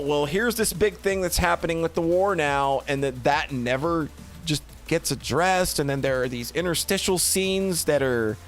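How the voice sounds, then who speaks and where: Casual man thinking aloud over music. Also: a little high. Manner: halting, repeats and restarts phrases while thinking aloud. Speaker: streamer reviewing games. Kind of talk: unscripted spoken review, casual commentary.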